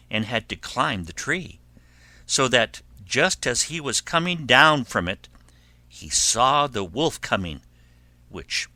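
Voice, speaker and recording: A man narrating a children's fairy tale as a storyteller, in a measured and expressive way. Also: lowish voice, dry recording.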